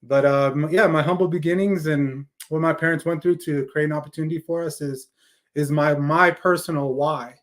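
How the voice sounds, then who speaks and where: A man's voice: on the high side, steady tone, normal speed. A man with an American accent, speaking as an interview guest and telling his personal story conversationally. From raised in California, born in Afghanistan.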